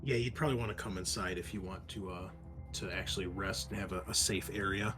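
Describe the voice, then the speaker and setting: A man improvising descriptive, halting narration as the game master of a tabletop roleplaying session. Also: low-pitched, steady tone, a bit bright, soft background music.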